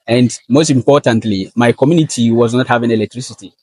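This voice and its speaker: A young man from northern Ghana with a Ghanaian accent, answering interview questions in an earnest, measured way. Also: mid-range voice, a little mellow, breathy edge, no echo, low-quality recording. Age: young adult. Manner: earnest and measured, with frequent fillers. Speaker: guest, valedictorian student.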